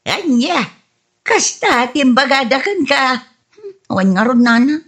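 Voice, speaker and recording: A woman playing a touchy, reformed snack vendor in an Ilocano-accented radio comedy drama, with a husky voice and plaintive, indignant manner. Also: high voice, big pitch swings, measured pace, a bit bright, full character voice. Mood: aggrieved. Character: touchy reformed woman peddling afternoon snacks, easily offended.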